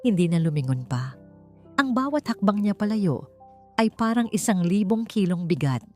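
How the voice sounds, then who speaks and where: A woman narrating a scripted story in a dramatic, suspenseful style, with deliberate short emphatic phrases. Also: medium pitch, very animated, mellow. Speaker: audiobook narrator. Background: background music.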